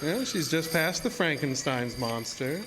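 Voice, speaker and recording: An actor playing a politely menacing carnival illusionist in a horror audio drama, speaking in a formal, measured, mock-courteous way. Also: male voice, on the high side, sing-song, loud music behind the voice, poor audio. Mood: quietly menacing. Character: sinister, politely menacing male carnival dark-ride illusionist.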